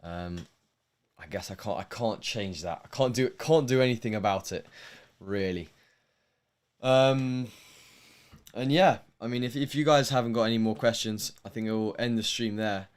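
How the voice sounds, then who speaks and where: A man's voice: fairly low pitch, moderate pace. A young man from London with a posh English accent, hosting a tennis livestream and chatting casually, rambling with lots of ums and restarts. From England. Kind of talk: casual livestream chat answering viewer questions.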